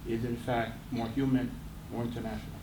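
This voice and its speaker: A man from the audience puts forward a long, articulate question at an even pace. His voice sits in the middle range. He is picked up from a distance in an echoey room with clear background noise. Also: mid-range voice. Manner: articulate, measured, long sentences. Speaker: audience member asking a question. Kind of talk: question from the floor.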